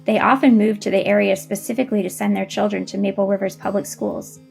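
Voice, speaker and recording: A woman's voice: medium pitch, creaky, darkish tone. A woman reading scripted narration as the storyteller of a case study.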